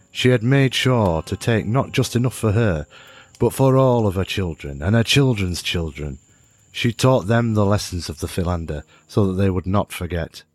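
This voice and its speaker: A man's voice: on the low side, darkish tone, no room sound. A man acting as a storyteller in an audio drama, narrating a fable in a dramatic storybook style. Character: man telling a fable to a youngster. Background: music.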